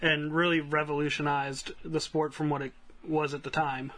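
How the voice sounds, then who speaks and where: A man's voice: fairly high pitch, flat delivery, moderate pace, a bit bright. A man co-hosting a podcast, chatting casually about films. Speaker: podcast co-host and editor.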